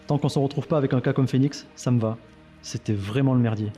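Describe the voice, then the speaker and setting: A man acts out a cynical, suspicious intelligence agent in an audio drama. He talks fast in a relaxed, dark tone, dry and sardonic. Faint music plays behind him. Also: medium pitch, natural voice. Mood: suspicious, weary. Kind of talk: French audio fiction dialogue.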